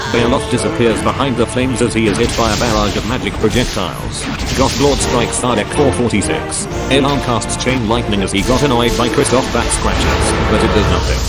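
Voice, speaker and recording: A man's voice: mid-range voice, lightly acted. A man playing an arena commentator, delivering sports-style play-by-play. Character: excited male arena fight commentator. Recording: loud music under commentary.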